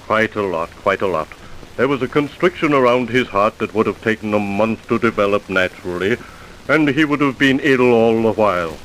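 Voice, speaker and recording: A man's voice: on the low side, moderate pace, a bit bright, lightly acted, poor audio. A man playing a methodical scientist professor in an old radio drama, humming and mumbling "mm" and "uh" as he explains. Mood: explaining. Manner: mumbling "mm" and "uh", wry asides. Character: older male scientist professor, methodical and dry. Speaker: forensic professor. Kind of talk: 1940s radio drama acting. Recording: hissy old radio recording.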